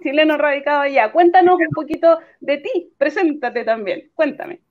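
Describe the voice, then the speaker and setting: A woman's voice: high voice, moderate pace, phone-quality sound. A woman moderating a webinar who introduces the speaker and often adds "¿cierto?" to her sentences. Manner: guiding, uses "¿cierto?" tags. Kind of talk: hosting a webinar.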